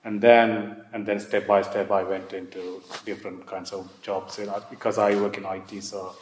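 A man's voice: fairly low pitch, flat delivery, normal speed, a little mellow, a little hiss or hum. A man with a Sri Lankan accent recounting his own experiences as an interviewee, often repeating his phrases. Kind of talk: oral history interview, personal recollection. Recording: echoey room.

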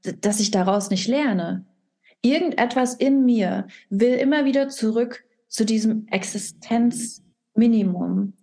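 A woman's voice: a little high, unhurried, dark tone. A young woman talking candidly as a podcast guest, rambling and dropping English phrases into her speech. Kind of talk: casual podcast conversation.

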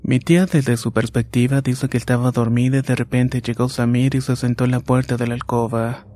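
Male horror narrator, dark and mellow timbre, monotone and steady, faint music bed. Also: mid-range voice. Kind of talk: scripted horror story narration.

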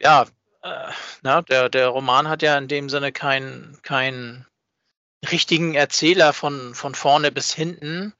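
A man's voice: medium pitch, fairly even intonation, slow pace, pushed and bright, dry recording. A man co-hosting a fan podcast, chatting casually and searching for words, often checking for agreement. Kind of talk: casual chat about a hobby production. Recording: slightly dull, dry close recording.

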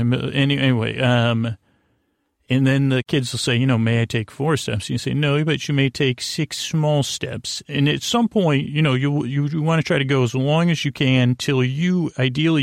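A man with a creaky, gravelly voice hosts a sleep podcast, recorded very dry. He rambles in a halting way, circling around his thoughts and leaving sentences unfinished. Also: medium pitch, steady tone, no room sound. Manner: meandering, halting, often trailing off mid-thought. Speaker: podcast host. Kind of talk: rambling bedtime podcast monologue. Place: podcast studio.

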